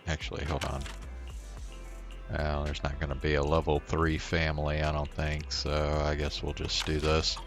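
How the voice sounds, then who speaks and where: A man chatting casually and in a low-key way as he streams a video game. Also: completely flat delivery, moderate pace, music in the background. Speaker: livestream gamer. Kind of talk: casual gaming stream chat.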